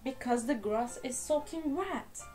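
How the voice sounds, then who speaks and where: A woman's voice: sits high, unhurried, darkish tone, faint music behind. A woman voicing a patient but exasperated father in a children's storybook, explaining things with pleading emphasis. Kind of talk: storybook character voice.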